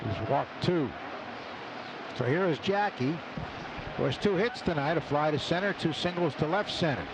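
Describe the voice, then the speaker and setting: A man's voice: a little high, animated, normal speed. A man doing live baseball play-by-play on the radio, in clipped phrases with statistical asides. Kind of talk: live sports commentary. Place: ballpark broadcast booth. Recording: live radio, loud stadium background noise. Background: clear ballpark ambience noise.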